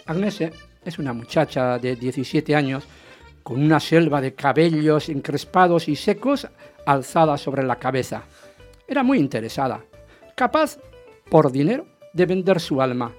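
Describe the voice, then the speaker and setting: A jealous husband tells his story in radio-theatre style with wide, animated swings of pitch. He is agitated and insistent, with faint music around the voice. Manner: dramatic, insistent, swinging from narration to heated questioning. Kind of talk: radio theatre narration and dialogue.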